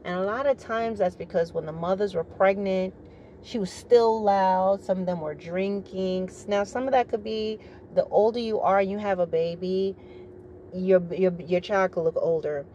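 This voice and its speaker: A middle-aged woman, a retired soldier, with a naturally deep, rich voice, speaking in a measured, formal way, as if giving a briefing. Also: dark tone, dull audio, a little hiss or hum. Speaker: retired military vlogger. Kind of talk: opinionated monologue to camera.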